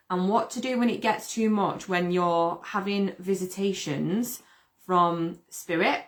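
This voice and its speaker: A woman hosting a casual live stream, chatty and upbeat, with a slightly croaky voice. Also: on the low side, a bit bright. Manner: chatty, upbeat, greets viewers by name. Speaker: host.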